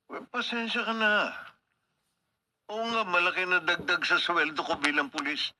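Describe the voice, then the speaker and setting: A man's voice: high-pitched, steady tone, normal speed, sharp tone. An actor playing an earnest, principled policeman in a Filipino radio drama, on a noisy broadcast recording. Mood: defensive. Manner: earnest, protesting, firm about rules. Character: honest, conscience-bound, put-upon male police officer.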